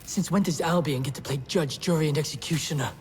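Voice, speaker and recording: An actor playing a defiant captured resistance fighter in a video game. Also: male voice, on the high side, quick pace, darkish tone, a little hiss or hum, close to an everyday voice. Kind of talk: video game cutscene acting.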